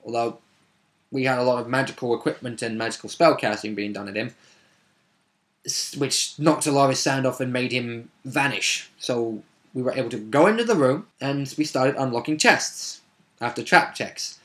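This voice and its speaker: A man's voice: mid-range voice, normal speed, fairly bright tone. Rambling man recounting a game session. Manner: rambling, wordy, with asides and self-corrections. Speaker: vlogger recapping a tabletop roleplaying session. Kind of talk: casual unscripted storytelling recap.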